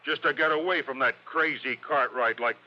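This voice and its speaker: An actor playing a dim, belligerent working-class American crook in a golden age radio drama, slangy and ungrammatical. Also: male voice, medium pitch, normal speed, natural voice. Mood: blustering, irritated. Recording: dull old radio recording.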